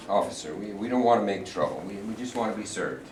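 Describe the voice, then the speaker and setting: A man's voice: fairly low pitch, lively intonation, fast talker, natural voice. A man reading the part of a polite young traveler in a staged play reading, pleading to be served. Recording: echoey room, distant mic, background noise.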